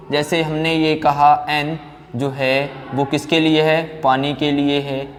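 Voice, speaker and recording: Male teacher in echoing room. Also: on the high side. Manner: didactic, asks questions and answers them himself. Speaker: science teacher. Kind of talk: classroom physics lecture. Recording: very reverberant room, background noise.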